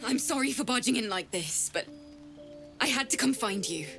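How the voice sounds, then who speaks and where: A woman's voice: a little high, expressive, moderate pace, fairly bright tone, soft background music. A young woman voicing an earnest female bard who talks in an eager, heartfelt way.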